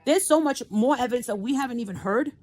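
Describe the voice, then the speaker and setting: Woman, somewhat high pitch, streamer, rambling, halting, emphatic repetition. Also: darkish tone. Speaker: online streamer commenting on news. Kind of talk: rambling off-the-cuff talk.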